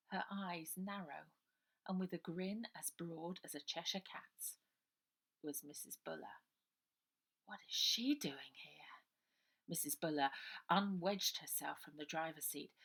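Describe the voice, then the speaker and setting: Bright-voiced woman narrating a children's story. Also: lowish voice. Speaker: author reading her own novel. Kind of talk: dramatic children's audiobook narration.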